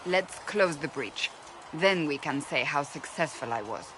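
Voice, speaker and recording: A woman's voice: deep voice, animated, measured pace, noisy background. An actress playing a stern, blunt woman warrior in a video game, speaking in a firm, clipped, formal manner. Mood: earnest, reflective. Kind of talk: dramatic video game dialogue.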